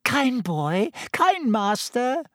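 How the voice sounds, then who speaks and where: Squeaky, grovelling cowardly traitor. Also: male voice, big pitch swings, takes it slowly, fairly bright tone, no room sound, clean studio sound, exaggerated voice. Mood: wheedling. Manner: gasping, imploring, ingratiating. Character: grovelling, cowardly, pleading traitor man, wheedling. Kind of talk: audiobook character acting.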